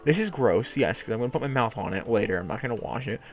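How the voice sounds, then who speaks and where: A man's voice: medium pitch, expressive, fast talker. A man with a nasal voice rambling casually and playfully to his viewers, swearing now and then. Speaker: streamer talking to viewers. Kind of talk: casual rambling chat to camera.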